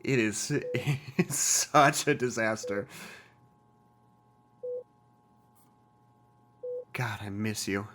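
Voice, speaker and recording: An actor playing an earnest, resentful male scientist in an audio drama, confiding in a halting, rambling way. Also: on the low side, a bit bright. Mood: wistful, fond. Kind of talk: audio drama monologue, naturalistic.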